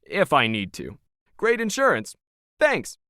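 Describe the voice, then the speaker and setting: A man's voice: high voice, wildly swooping pitch, unhurried, pushed and bright, dry recording, pitched above the performer's own voice, full character voice. A male narrator acts out an arrogant, moneyed male villain in an audio story. He is cold and sly, taunting with pompous self-assurance. The sound is close and dry. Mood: smugly menacing. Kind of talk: audio fiction character dialogue.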